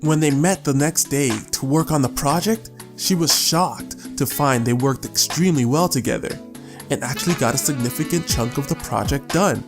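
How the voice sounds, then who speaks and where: A man reading scripted audiobook narration as a storyteller, measured and with deliberate pauses. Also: a little high. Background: guitar music.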